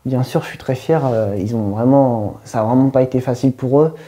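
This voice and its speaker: Dark-toned calm man interviewee. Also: medium pitch, brisk. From France. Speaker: interviewee, son of immigrants. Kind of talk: documentary interview.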